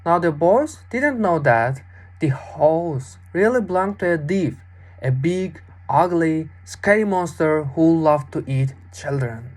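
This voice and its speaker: A young woman with a fairly low voice narrates a children's fairy tale. She reads deliberately and expressively, as a student storyteller. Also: expressive, a little mellow. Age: young adult.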